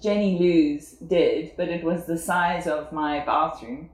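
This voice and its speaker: A South African woman in her forties, a guest telling her story in a relaxed interview, thoughtful and full of fillers. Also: sits low, takes it slowly, roomy sound. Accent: South African English. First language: English. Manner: reflective, with frequent fillers and laughs. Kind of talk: casual conversational interview.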